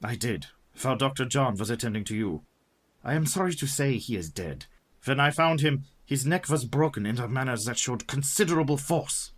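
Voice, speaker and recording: Male actor as grave professor, radio drama, raspy edge, lively intonation, courtly, earnest. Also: mid-range voice, normal speed, lightly acted. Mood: explaining. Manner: formal, earnest, courtly phrasing. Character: devout male professor, vampire hunter, grave and kindly. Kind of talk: radio play dramatic acting.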